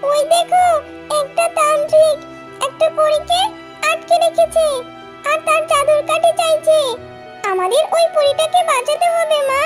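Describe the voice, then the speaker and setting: A woman's voice: very high voice, fairly even intonation, measured pace, loud music behind the voice. A woman voicing a childlike, eager little girl fox cub in a children's cartoon. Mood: anxious, urgent.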